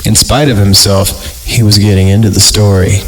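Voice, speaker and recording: A man's voice: lowish voice, little pitch movement, moderate pace, low-quality recording. An American man hosting a podcast, reading a story aloud in a mock-dramatic style with irreverent, joking asides. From Fresno, California. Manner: irreverent, jokey. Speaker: podcast host reading horror stories aloud. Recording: music bed behind the voice. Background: music.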